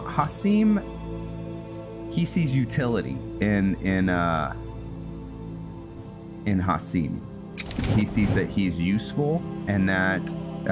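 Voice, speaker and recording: A man giving casual gameplay commentary, rambling and hesitant as he thinks aloud. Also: low-pitched. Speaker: gaming video host; roleplay story writer. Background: music.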